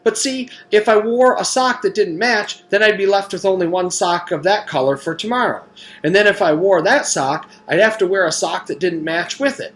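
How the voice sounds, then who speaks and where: A man reading a children's storybook, voicing an excitable, chatty schoolboy in an eager, emphatic way. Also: sits high, normal speed, voice pushed up. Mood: excited. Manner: eager, emphatic, groaning for attention. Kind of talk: children's storybook read-aloud.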